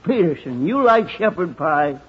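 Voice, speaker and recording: An actor playing a drowsy old printer who rambles in a folksy, repetitive way with a husky, creaky voice in a radio drama. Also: male voice, on the high side, big pitch swings, moderate pace, heavily acted. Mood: drowsy, rambling. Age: older. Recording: dull old radio recording.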